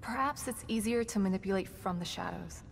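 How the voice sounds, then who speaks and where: A woman playing a composed, professional staff psychologist in a horror game, measured and matter-of-fact. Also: creaky, natural voice. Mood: calm, measured. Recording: reverberant hall with rumbling noise.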